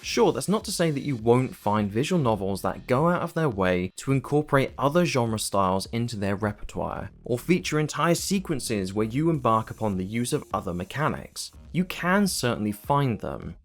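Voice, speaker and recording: Lively-voiced man narrating a video essay. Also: medium pitch, moderate pace, few pauses, soft background music. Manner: wry, self-aware, conversational. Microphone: voice-over.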